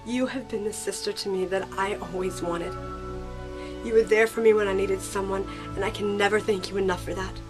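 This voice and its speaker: A woman's voice: medium pitch, fairly even intonation, fairly quick, music in the background, lightly acted. A woman playing a heartfelt sixteen-year-old girl in an audio drama, emotional and sincere. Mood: tearful, heartfelt.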